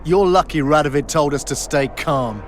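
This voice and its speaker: An actor playing an officious, threatening royal soldier in a video game, with a commanding, declamatory delivery. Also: male voice, a little high, moderate pace, slight background noise, close to an everyday voice. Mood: menacing.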